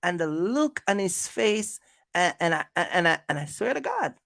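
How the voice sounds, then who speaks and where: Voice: mid-range voice, big pitch swings, moderate pace, vocal fry, fairly bright tone, no room sound, studio quality. A content creator delivering a casual, confrontational rant, repeating points and throwing out rhetorical questions. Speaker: YouTube content creator, trucking vlogger. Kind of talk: casual rant to camera, calling out rivals.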